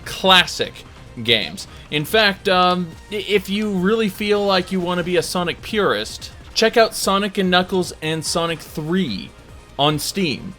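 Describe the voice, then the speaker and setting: A man delivering an informal video game review in a conversational, emphatic way, pausing for dramatic effect. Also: high-pitched, lively intonation, pushed and bright. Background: music under the voice.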